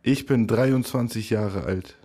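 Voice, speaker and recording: A man reading short phrases slowly and carefully, each one repeated three times, for a language lesson. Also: mid-range voice, warm tone. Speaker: language teacher. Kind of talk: slow repeated phrase drill.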